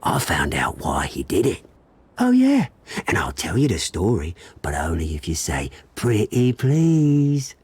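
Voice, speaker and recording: A man's voice: sits low, huge pitch swings, a little slow, a little mellow, slightly rough, full character voice. An actor playing a smug, scheming male crime boss, sneering and theatrical. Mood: taunting. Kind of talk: video game voice acting.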